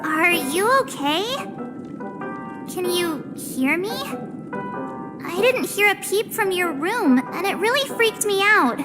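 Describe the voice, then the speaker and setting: A woman voicing an excitable, caring young girl in a video game, perky and expressive. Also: very high-pitched, takes it slowly, pauses often, long reverb tail, loud music behind the voice, full character voice. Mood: urgent, worried. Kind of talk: English video game voice acting.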